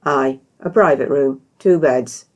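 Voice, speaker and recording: A woman's voice: a bass voice, takes it slowly, full character voice. A British woman voicing a stern, reserved male traveller in a fantasy storybook, speaking in a tight, clipped way. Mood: tense, reserved. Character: terse, stern straight-haired male traveller.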